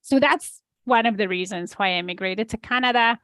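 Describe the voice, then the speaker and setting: A woman's voice: mid-range voice, fairly quick, fairly bright tone, smooth, no room sound, studio quality. A middle-aged woman with a Polish accent, a business coach telling her story as a podcast guest, easygoing and laughing lightly. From Poland.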